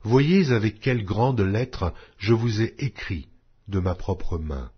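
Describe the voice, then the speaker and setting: A man reading scripture aloud in a slow, solemn, measured way. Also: lowish voice, lively intonation, husky, dull audio. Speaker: Bible reader.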